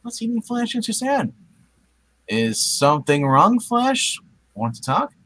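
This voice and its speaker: A man's voice: high voice, big pitch swings, a little slow, a bit bright, voice pushed up, exaggerated voice. A man voicing an anxious, wisecracking young superhero in a theatrical comic book reading, given to exclamations. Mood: eager, concerned.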